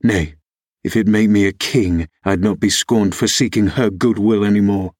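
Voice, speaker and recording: Man acting gruff, surly young rustic. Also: on the low side, steady tone, normal speed, a little mellow, no echo, studio quality, lightly acted. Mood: indignant. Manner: gruff, growling, ferocious.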